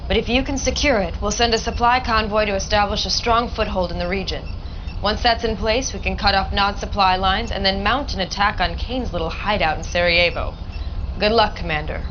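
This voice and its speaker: A woman's voice: medium pitch, brisk, natural voice. A cool female military officer played by a woman in a video game, with a mid-range voice. She briefs confidently and in a businesslike way, on slightly noisy, muffled audio. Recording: dull game video, faint noise.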